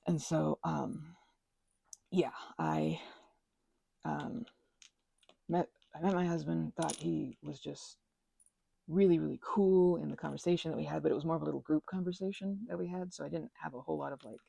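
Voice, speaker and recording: A woman hosting an ASMR channel, rambling in a chatty, giggly way with lots of fillers and a breathy voice that slips into whispers. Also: low voice, fairly even intonation, normal speed, pauses often, warm tone, no echo. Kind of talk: soft-spoken rambling chat.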